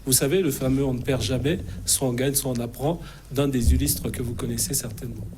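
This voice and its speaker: A man's voice: mid-range voice, fairly even intonation, a little fast, noisy background. A middle-aged man from the Republic of the Congo, a guest on a TV news programme, speaking assertively with frequent fillers and restarts. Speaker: interview guest, think tank president. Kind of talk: TV news studio interview. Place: TV news studio.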